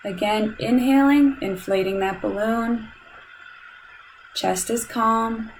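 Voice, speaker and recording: A woman leading a guided meditation in a calm, soothing, measured way, leaving long pauses between instructions. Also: fairly high pitch, slight background noise, low-quality recording.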